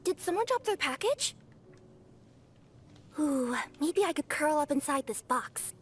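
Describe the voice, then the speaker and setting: Very high, childlike little girl. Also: very animated, measured pace, fairly bright tone, soft background music, exaggerated voice. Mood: curious, wondering. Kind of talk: scripted game character voice acting.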